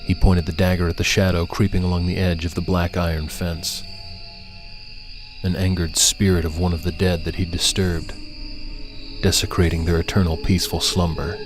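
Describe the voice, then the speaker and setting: Low-voiced man narrating suspense fiction over music. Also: little pitch movement, moderate pace. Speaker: audiobook narrator. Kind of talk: scripted dramatic fiction narration.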